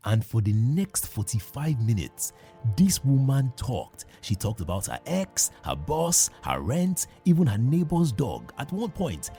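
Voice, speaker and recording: A man, hosting a podcast and telling a comic story in a playful, animated way, acting out the dialogue. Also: medium pitch. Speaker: podcast host.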